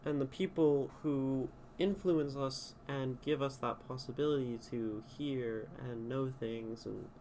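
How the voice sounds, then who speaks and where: A man's voice: mid-range voice, fairly even intonation, unhurried, few pauses, dark tone, slight background noise. A man critiquing a poem on a live stream, in a casual way, thinking aloud as he rereads lines and tries out edits. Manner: thinking aloud, reading lines back and reworking them. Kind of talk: casual spoken poetry critique. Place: home streaming setup.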